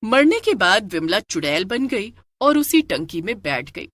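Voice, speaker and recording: Female storyteller: crisp forceful tone, close dry sound, dramatic scripted narration. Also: medium pitch, big pitch swings, measured pace, no room sound.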